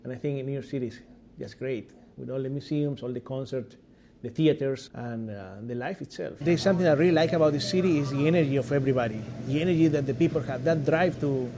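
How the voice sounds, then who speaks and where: A man's voice: medium pitch, fast talker, mellow, a little hiss or hum. A middle-aged man from Chile with a Chilean Spanish accent, a musician talking reflectively and plainly in a documentary interview. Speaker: musician and church music director.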